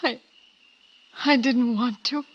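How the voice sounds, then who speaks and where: An actress playing a desperate, scheming femme fatale in a 1940s radio drama, urgent and pleading. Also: female voice, a little high, fairly even intonation, takes it slowly, slightly rough. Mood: weak confession. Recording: dull vintage radio recording.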